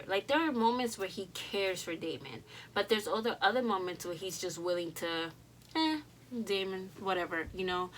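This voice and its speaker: A woman's voice: medium pitch, fairly bright tone, slight background noise, rough recording. A young woman with a Dominican accent, chatting casually to her viewers as a reaction host, rambling with fillers and restarts.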